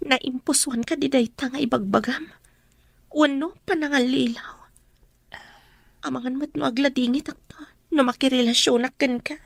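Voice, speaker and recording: A woman playing a gentle, humble young big sister from a poor family in a radio drama. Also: high voice, fairly even intonation, normal speed, gravelly, no room sound. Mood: doubtful, tender.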